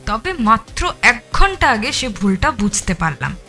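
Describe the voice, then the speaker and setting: Cold, scheming murderous wife, lively pitch. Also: female voice, unhurried, faint music behind, exaggerated voice. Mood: calm, calculating. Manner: composed, menacing, contemptuous. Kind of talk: dramatised audio thriller acting.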